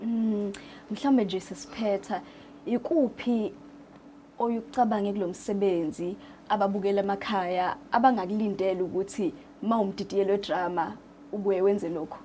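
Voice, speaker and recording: A South African woman presents an interview in a medium-pitched voice. She addresses guest and viewers, with faint noise in the background. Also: fairly even intonation, normal speed, dark tone.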